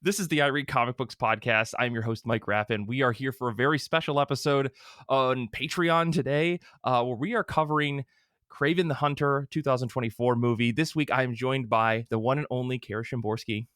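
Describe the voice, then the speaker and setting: A man's voice: medium pitch, a little fast, fairly bright tone, clear, resonant tone, no room sound. Guy hosting a podcast, jokey and rambly, keeps cutting himself off, dry close sound. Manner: jokey, rambling, self-interrupting. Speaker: podcast host. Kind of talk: casual chat.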